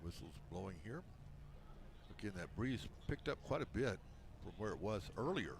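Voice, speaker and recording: A man with a big, booming voice calls high school football play-by-play from a press box. He mixes quick calls of the action with folksy, joking banter. Faint outdoor noise sits under his voice. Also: big pitch swings, vocal fry. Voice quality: big loud voice. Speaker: play-by-play announcer.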